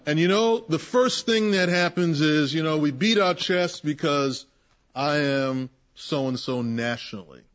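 A man's voice: a little high, measured pace, a bit bright. A man leading a group Bible discussion, preaching emphatically and acting out the voices he quotes. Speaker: Bible study leader. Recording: muffled lo-fi recording.

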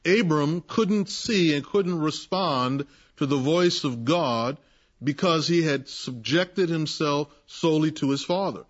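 Male Bible study leader, animated and emphatic, slightly higher voice, dull recording. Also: slow pace. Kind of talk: preaching in a group Bible discussion. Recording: muffled lo-fi recording.

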